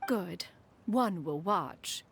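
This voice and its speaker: A woman's voice: lowish voice, animated, very slow, gravelly, a bit bright, a little hiss or hum, full character voice. A woman voicing a proud, ageless bird immortal in a game cutscene, formal and haughty yet caring. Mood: wise, kindly. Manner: formal, stately, refers to herself as one. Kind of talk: video game cutscene acting. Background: music.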